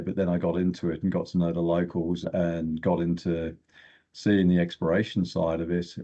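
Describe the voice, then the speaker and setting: A man's voice: sits low, warm tone, husky, muffled recording. An older man, an exploration geochemist, telling stories casually as an interview guest.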